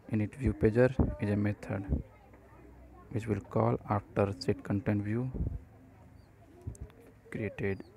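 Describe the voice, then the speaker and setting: A man narrating a step-by-step programming tutorial, speaking haltingly in short phrases. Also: lowish voice, mellow, poor audio.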